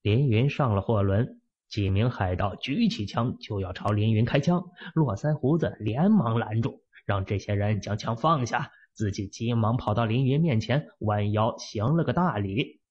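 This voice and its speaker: A man's voice: normal speed, hardly any pauses, husky, muffled recording. A man narrating a fantasy novel as an audiobook, telling the story expressively. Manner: expressive storytelling with lively pitch swings.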